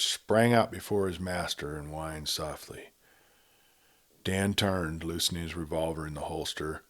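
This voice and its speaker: A man narrating a Western novel in a measured, quiet reading style. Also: low voice. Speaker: storyteller. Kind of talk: scripted story narration.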